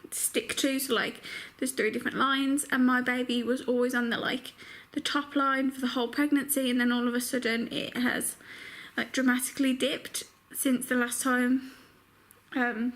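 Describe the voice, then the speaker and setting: A young, heavily pregnant woman chatting casually to her camera at home, full of filler words, her voice croaky. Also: high-pitched, little pitch movement, moderate pace, a bit bright. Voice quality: croaky morning voice. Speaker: vlogger. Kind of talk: casual vlog chat. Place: home bedroom.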